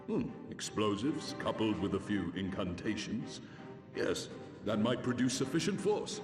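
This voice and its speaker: An actor playing a deliberate military ally in a fantasy game, speaking in a formal, considered way. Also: male voice, lowish voice, very animated. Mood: pensive, resolute. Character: measured male military ally, a deliberate tactician. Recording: roomy, long reverb, music behind.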